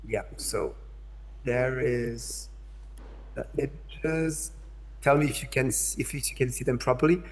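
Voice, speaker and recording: French-accented male speaker on a video call; hesitant, restarts a lot, keeps asking the room if they follow. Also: mid-range voice. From France. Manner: hesitant, repeats words, checks in with listeners. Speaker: invited speaker, curator. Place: in São Paulo, remote.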